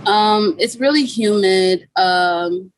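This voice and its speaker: A woman's voice: medium pitch, slow pace, no echo, rough recording. A young woman from Guyana, a musician guest chatting casually on a video call interview, with a laid-back manner full of "like" and "you know".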